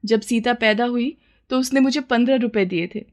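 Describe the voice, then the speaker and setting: A woman's voice: on the high side, moderate pace, lightly acted. A woman playing a poor, humble village mother with a plaintive, deferential way of talking in a story. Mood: warm surprise.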